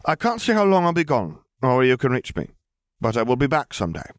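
Man voicing a glib, high-pitched young witch boss. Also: huge pitch swings, fairly quick, smooth, no room sound, studio quality. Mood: brisk, commanding. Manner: glib, mock-polite, theatrical taunting. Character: brash young witch crime boss, wry and menacing. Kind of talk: acted dialogue in fan fiction audiobook.

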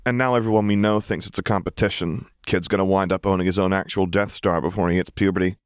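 A man voicing a wry, teasing, brisk young mother who works as a pilot in a story reading. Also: low-pitched, steady tone, smooth, no room sound, studio quality, natural voice. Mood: dry.

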